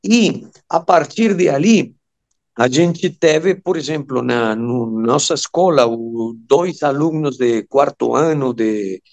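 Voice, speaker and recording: A Venezuelan man with a Spanish accent giving interview answers, haltingly searching for words in his second language. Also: mid-range voice, a little mellow. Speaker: interview guest, amateur radio operator.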